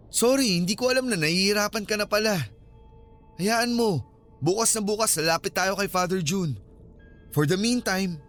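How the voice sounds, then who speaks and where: A man's voice: sits high, a bit bright. An actor playing a coaxing young male vlogger in a Filipino radio drama. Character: pleading young male vlogger, coaxing his frightened girlfriend.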